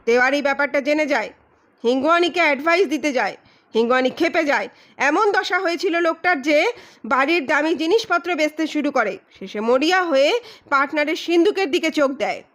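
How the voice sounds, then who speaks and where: A blustering male private detective from an audio mystery, acted out by a woman. The voice is very high and loud, with emphatic, declamatory delivery. He comes across as boastful, ruthless and menacing. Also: lively intonation, fairly quick. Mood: heated, insistent. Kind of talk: dramatized audiobook reading.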